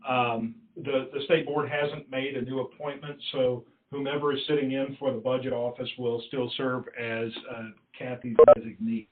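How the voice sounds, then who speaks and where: A man speaking haltingly and politely as a staff official running a formal committee meeting on a conference call. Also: medium pitch, flat delivery. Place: conference call, roomy sound. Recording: muffled, echoey conference call line.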